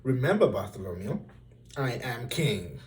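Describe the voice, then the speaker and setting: A man's voice: mid-range voice, sing-song, unhurried, a little mellow, distant mic. A man voicing a hot-tempered, imperious old storybook king in a bellowing, emphatic, commanding manner. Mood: angry, demanding.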